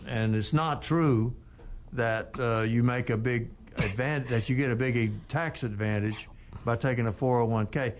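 An older man co-hosting a radio talk show, giving labor news in a plainspoken, unhurried way. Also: mid-range voice. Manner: plainspoken, unhurried, with frequent restarts. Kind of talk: casual labor news chat.

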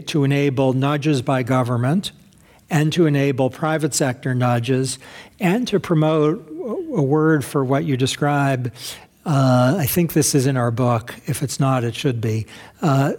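A man's voice: medium pitch, darkish tone. A man from the United States answering audience questions at a lecture, thoughtful and hesitant, with many fillers and restarts and frequent rhetorical questions. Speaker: academic speaker responding to questions.

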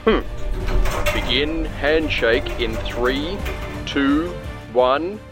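A man's voice: a little high, drawn-out words, loud music behind the voice. A man playing a stern, impatient military marshal in an audio drama, giving clipped, authoritative commands.

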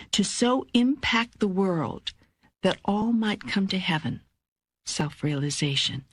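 An older woman voicing God as a calm, wise, authoritative teacher in an audiobook, with measured, emphatic phrasing and a husky voice. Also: mid-range voice, dry recording, heavily acted. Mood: solemn, teaching. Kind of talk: audiobook dialogue reading.

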